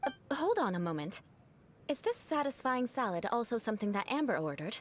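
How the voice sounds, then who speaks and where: A woman's voice: on the high side, sing-song, a little fast, slight background noise. An actress playing a proud, aloof aristocratic female knight who speaks formally with dry, haughty teasing, in video game dialogue.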